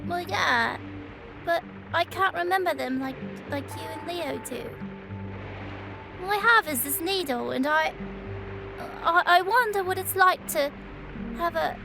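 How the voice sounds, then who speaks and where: A very high, childlike voice of a young orphan girl played by a woman in an audio drama. She is earnest and stubborn, with feeling close to the surface. Music plays clearly behind her. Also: lively intonation, a little slow, pauses often, heavily acted. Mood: wistful, sad. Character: young orphan girl, wistful, stubborn, fiery little sister.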